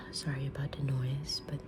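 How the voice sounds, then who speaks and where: A woman whispering softly in an ASMR roleplay as a kind, mysterious witch reading tarot, with a gentle, soothing delivery. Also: extremely low pitch. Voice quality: breathy unvoiced whisper. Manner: gentle, soothing, unhurried fortune-teller delivery. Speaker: ASMR creator playing a tarot reader.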